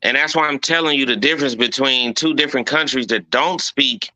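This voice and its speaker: Folksy man with a sharp dry voice. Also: mid-range voice, fairly quick, no echo, low-quality recording. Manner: folksy, persistent, keeps saying right and okay. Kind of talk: heated casual debate.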